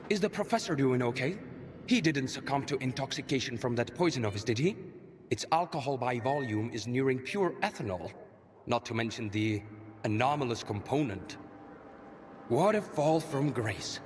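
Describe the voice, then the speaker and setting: Guy acting a fussy formal scientist in a game, mid pitched, bit of background noise. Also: lively intonation, moderate pace, close to an everyday voice. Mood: concerned, disapproving. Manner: formal, wordy, prim. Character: stiff, formal, bookish male scientist, disapproving. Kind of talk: video game cutscene acting.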